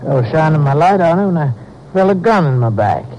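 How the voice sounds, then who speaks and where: An actor playing a folksy, obliging night watchman in a 1950s radio drama, speaking in a halting, rural way. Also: fairly high pitch, very animated, a little mellow. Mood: earnest, recounting. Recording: noisy old radio broadcast.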